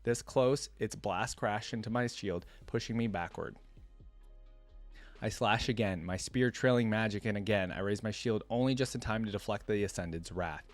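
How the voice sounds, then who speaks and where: A man's voice: lowish voice, moderate pace, soft background music. A man hosting a reaction video, reading a story aloud and adding casual, musing asides.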